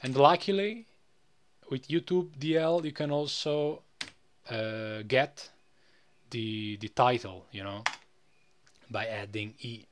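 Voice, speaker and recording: A man presenting a casual, unscripted software tutorial in a rambling way, often tagging phrases with "you know". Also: mid-range voice, takes it slowly, pauses often, slight background noise.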